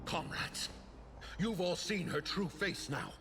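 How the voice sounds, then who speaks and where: An actor playing a defiant, indignant rebel leader in a game, with emphatic, accusing speech. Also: male voice, sits high, animated, slow pace, exaggerated voice. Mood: rallying. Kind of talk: theatrical scripted game voice acting. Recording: music behind.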